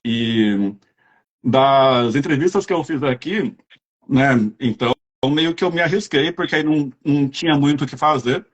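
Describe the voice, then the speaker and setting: A man's voice: medium pitch, normal speed, dull audio. A man with a Brazilian accent, chatting casually as an interviewer and telling rambling anecdotes. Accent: Brazilian Portuguese.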